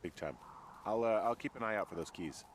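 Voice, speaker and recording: A lowish-voiced, laconic man working as a fire lookout, acted by a man for a video game. He is guarded and understated, with dry humor and natural delivery. Outdoor noise is clearly audible behind him. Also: a little fast, close to an everyday voice. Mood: low-key, curious. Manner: dry, low-key, understated. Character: laconic, guarded, dryly joking adult male fire lookout.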